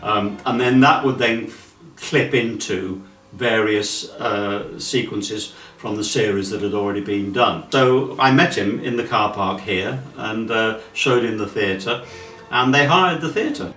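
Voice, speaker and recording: An older Englishman with a lowish voice, recorded at some distance in an echoey room. He tells an anecdote casually and conversationally. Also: a little slow, a bit bright, soft background music. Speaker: theatre member telling an anecdote.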